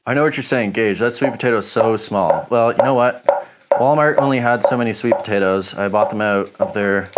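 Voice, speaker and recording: A man giving a relaxed, chatty review, rambling with lots of fillers as he chops. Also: fairly low pitch, background noise. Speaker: knife shop reviewer. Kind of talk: casual product review while chopping.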